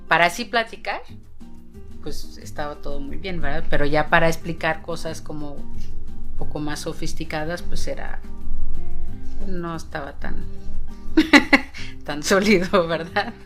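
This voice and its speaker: A woman's voice: low-pitched, a little slow, pauses often, soft background music. A woman mathematician talking casually in a documentary interview, sprinkling in fillers. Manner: casual, fillers like "o sea", "¿verdad?".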